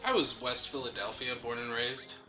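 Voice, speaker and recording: A man's voice: medium pitch, normal speed, low-quality recording. A man co-hosting a gaming let's play, chatting casually with dry, playful replies. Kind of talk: casual chat, game commentary. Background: faint game music.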